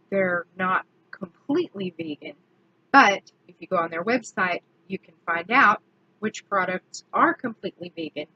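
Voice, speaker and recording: A woman, slightly hoarse from allergies, chatting casually through a makeup product review and correcting herself as she goes. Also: mid-range voice, sharp tone, dull audio. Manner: chatty, self-correcting, slow and deliberate. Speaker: beauty vlogger.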